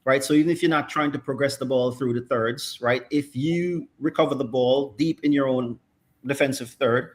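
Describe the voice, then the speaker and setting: A man's voice: mid-range voice, fairly even intonation, normal speed. A middle-aged man analysing football in casual chat, thoughtful and checking in with "right?" often. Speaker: football pundit.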